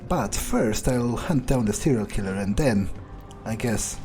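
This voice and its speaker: A man voicing a power-hungry, menacing vampire in a grandiose, brooding in-character monologue for a game playthrough, with trailing pauses. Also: lowish voice, animated, dark tone, faint music behind. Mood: brooding, scheming. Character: power-hungry, menacing male vampire, musing coldly on revenge.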